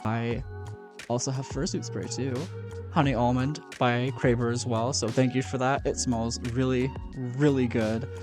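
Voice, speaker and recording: A man's voice: medium pitch, little pitch movement, a little slow, music in the background. A man from Texas giving a casual, upbeat vlog update from his bedroom, often repeating words for emphasis. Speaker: furry vlogger.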